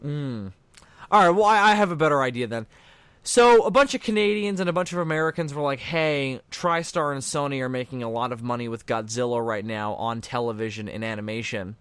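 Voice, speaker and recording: A man's voice: medium pitch, brisk, a bit bright, clear, resonant tone, dry recording. Explanatory male commentary host, dry close recording. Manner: explanatory, matter-of-fact. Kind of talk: casual fan commentary on a cartoon.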